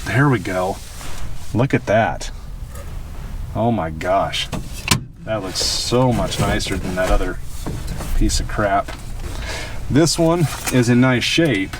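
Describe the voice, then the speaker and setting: A man's voice: on the low side, animated, takes it slowly, pauses often. A man hosting a car restoration video, talking casually, plainly and with firm opinions as he works. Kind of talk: casual hands-on vlog commentary while working. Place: in or at a car being worked on. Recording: distant mic, noisy background.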